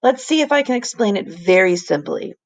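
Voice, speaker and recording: A woman voicing an earnest, kindly male professor in a storybook, explaining things deliberately and with emphasis. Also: medium pitch, lively intonation, lightly acted. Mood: earnest, explanatory.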